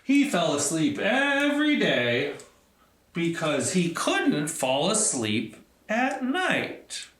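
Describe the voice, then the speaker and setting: Male children's storyteller, unhurried and expressive, lively pitch swings, simple phrasing, echoey room. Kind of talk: storybook narration and talk to children.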